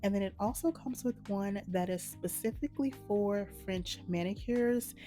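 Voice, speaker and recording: A woman hosting a nail art channel, slightly hoarse from a cold, talking viewers through a manicure step by step in a relaxed, matter-of-fact way. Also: medium pitch, moderate pace, soft background music. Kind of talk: casual step-by-step tutorial narration.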